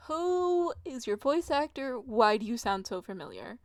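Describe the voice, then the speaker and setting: A woman's voice: on the high side, very animated, unhurried, no echo, studio quality. A woman streaming a game, giggling and rambling as she talks back to the characters. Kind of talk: live gameplay commentary. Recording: game music behind.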